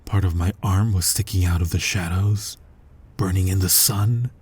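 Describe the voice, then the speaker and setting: A man playing an ancient, brooding, menacing vampire who hunts his own kind, in an ASMR roleplay, husky and breathy with a slow, deliberate, intimate delivery that often drops toward a whisper. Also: sits low, fairly even intonation, darkish tone. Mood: grim, haunted. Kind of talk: scripted ASMR roleplay monologue.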